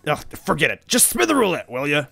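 A man voicing a huge, manipulative monster king in a story, with booming, slangy showmanship and a grumbling streak. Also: sing-song, brisk, a bit bright, no room sound. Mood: irritated, whining. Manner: casual slangy speech with swaggering showmanship.

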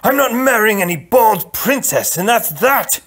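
A man voicing a vain, bad-tempered young prince in a storybook, blunt, loud and blustering. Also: mid-range voice, expressive, moderate pace, slightly rough. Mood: furious.

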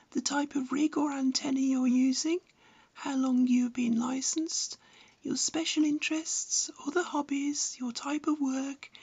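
Old lady in her 70s reading out how-to advice, high creaky voice, measured and precise. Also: darkish tone. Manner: measured, didactic, precise phrasing. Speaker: amateur radio enthusiast and instructor. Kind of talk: scripted narration giving practical advice.

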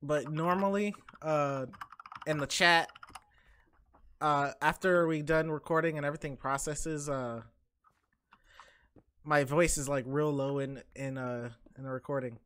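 A man chatting casually over voice chat while gaming, laid-back and quick with a wisecrack. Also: fairly high pitch, fairly even intonation, fairly bright tone, clear, resonant tone. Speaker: friend playing along. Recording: faint keyboard clicks behind.

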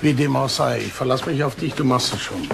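An actor playing a bossy, dry-humoured police investigator in a crime radio play. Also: male voice, mid-range voice, a little fast, vocal fry, noisy background. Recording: busy chatter in the background.

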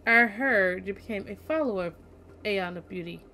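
A woman's voice: mid-range voice, normal speed, bright, forceful tone. A woman game streamer giving casual let's play commentary and reading dialogue aloud. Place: indoor streaming setup. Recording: faint background game noise.

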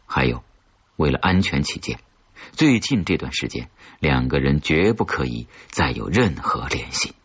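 A man narrates a suspense audiobook in a low, very husky voice. The story is scripted and read out as narration. The recording is a little dull and muffled. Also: very animated, normal speed, a bit bright.

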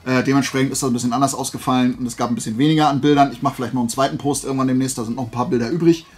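A man's voice: mid-range voice, steady tone, very fast. A man chatting casually to viewers like a vlogger, in a rambling, digressive and self-deprecating way. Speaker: YouTube vlogger showing flea market and fair finds.

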